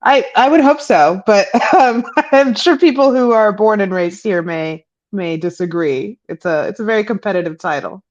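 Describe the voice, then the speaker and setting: A woman's voice: moderate pace, few pauses, no room sound. Young woman guest calling in, thoughtful, lots of ums, dull dry call audio. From Peru. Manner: reflective, many um fillers, rising pitch when animated. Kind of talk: remote interview conversation. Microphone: remote call.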